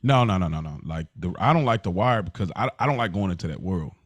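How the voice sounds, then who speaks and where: A man's voice: low-pitched, lively intonation, quick pace, dry recording. American man chatting casually, dry close sound. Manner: slangy, conversational. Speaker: podcast host. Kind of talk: casual opinionated chat.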